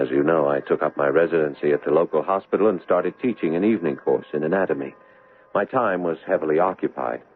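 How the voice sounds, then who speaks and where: A troubled young doctor in a vintage radio play. His low voice has a rough-edged, husky tone and an intensely earnest manner. The broadcast sounds dull and narrow. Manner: earnest, agitated, halting in dialogue. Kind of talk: 1970s radio drama acting with first-person narration.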